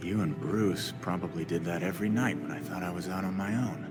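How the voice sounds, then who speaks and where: An actor playing a tough, brooding young American vigilante in a video game, blunt and slangy. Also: male voice, low voice, steady tone, brisk, over loud music. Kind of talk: video game cutscene acting.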